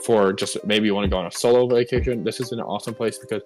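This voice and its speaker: A man's voice: on the low side, fairly even intonation, fast talker. A man co-hosting, chatting casually as he guides viewers on a game tour. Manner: chatty, upbeat guide talk. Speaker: co-host giving a game tour. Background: background music.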